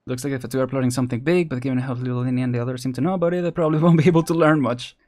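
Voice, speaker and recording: A man's voice: mid-range voice, steady tone, rapid-fire, darkish tone. A young man giving casual live game commentary, musing and reacting as he plays. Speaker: game streamer.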